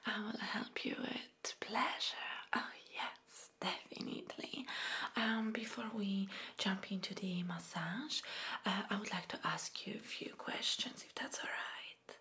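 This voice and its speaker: Very slow, whispering young woman. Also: medium pitch, fairly even intonation. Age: young adult. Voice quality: breathy whisper. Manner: soft, soothing, gentle. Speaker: ASMR creator playing a massage therapist. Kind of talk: whispered ASMR roleplay. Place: small dim room indoors. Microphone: close microphone off camera.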